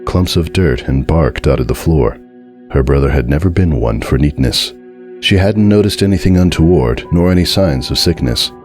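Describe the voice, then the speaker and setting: A man giving a dramatic, scripted narration of a horror story in a measured, deliberate and suspenseful way. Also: a bass voice, expressive, darkish tone, faint music behind.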